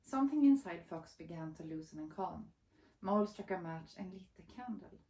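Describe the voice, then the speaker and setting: Mellow woman reading a story. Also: on the low side, expressive, moderate pace, echoey room. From Sweden. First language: Swedish. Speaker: author reading her picture book. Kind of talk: expressive storybook narration.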